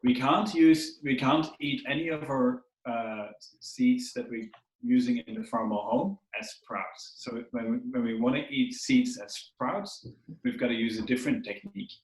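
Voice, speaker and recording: A man whose first language is Dutch gently walks children through a hands-on activity over a video call. He is friendly and often repeats words while he finds them. His voice sounds roomy and echoey. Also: medium pitch, fairly even intonation, normal speed. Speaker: workshop instructor. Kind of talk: teaching children on a livestream. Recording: roomy echo.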